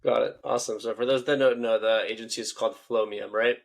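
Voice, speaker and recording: A man's voice: mid-range voice, steady tone, fast talker, gravelly. A man hosting a casual podcast interview, upbeat and affirming toward his guest. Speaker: podcast host.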